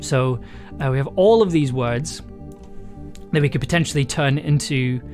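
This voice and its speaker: A live streamer talking casually to viewers, stumbling through fillers and restarts and laughing often. The voice sits at an ordinary middle pitch. Soft guitar music plays quietly in the background. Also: moderate pace. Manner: hesitant, many fillers and restarts, laughs easily. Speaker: audio drama writer streaming live. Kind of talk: casual live-stream chat, reading prompts aloud.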